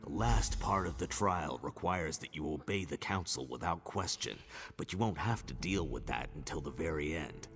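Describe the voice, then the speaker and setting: A man playing a stern, devout orc elder in a fantasy video game, with a gravelly voice and a grave, measured, cryptic manner. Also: lively intonation, fairly bright tone, heavily acted. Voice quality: very deep, gravelly. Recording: background music under the voice.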